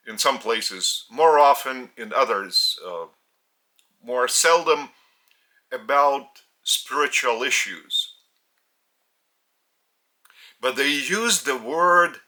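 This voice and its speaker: A man from Lithuania with a Lithuanian accent, a spiritual teacher speaking in short, halting phrases, his native language being Lithuanian. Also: mid-range voice, pushed and bright. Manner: halting, phrase-by-phrase delivery with pauses. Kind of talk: slow spiritual teaching talk.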